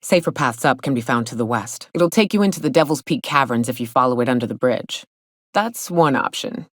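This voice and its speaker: An actress voicing a brash, hard-drinking big game hunter in a video game, with folksy, slangy, sardonic talk. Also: female voice, very deep voice, animated, brisk, dry recording, studio quality. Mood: wry, guarded. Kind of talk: video game character dialogue.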